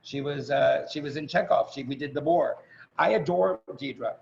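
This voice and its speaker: A man's voice: mid-range voice, moderate pace, vocal fry, a little mellow. A man hosting with casual, gushing, informal introductions over a video call. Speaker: host, acting teacher. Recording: muffled, noisy video-call audio.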